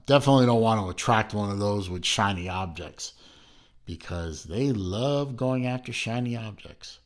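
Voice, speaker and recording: Man, low voice, casual watch review, rambling with fillers, talks from behind the camera. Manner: rambling, frequent fillers and restarts, relaxed. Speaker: watch reviewer, channel host. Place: home, filming mostly off camera.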